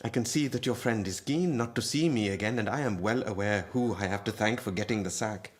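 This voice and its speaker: An actor playing an embittered moneylender in a radio drama, with a deliberate, insinuating, formally polite menace. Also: male voice, on the low side, natural voice. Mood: sardonic pressure. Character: embittered, menacing Indian moneylender and lowly clerk, a father.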